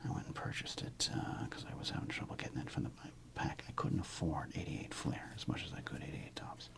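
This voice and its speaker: A man hosting an ASMR video, speaking in a breathy whisper with a gravelly creak, rambling casually as if musing to himself. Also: very deep voice, animated, a little fast. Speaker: host of a sports card ASMR channel. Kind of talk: ASMR, unscripted whispered commentary on a card collection.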